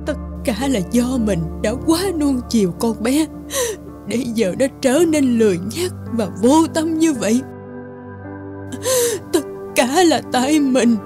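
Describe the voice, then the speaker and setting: A woman's voice: sits high, animated, unhurried. A woman playing a weary, sick, doting village mother who pleads plaintively in a cartoon folktale. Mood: sorrowful. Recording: music under the voice.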